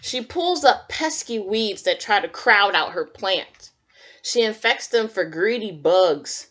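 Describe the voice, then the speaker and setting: A woman's voice: mid-range voice, expressive, slow, fairly bright tone. A woman teacher reading a picture book aloud to young children, adding simple, encouraging comments and questions as she goes. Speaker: kindergarten teacher.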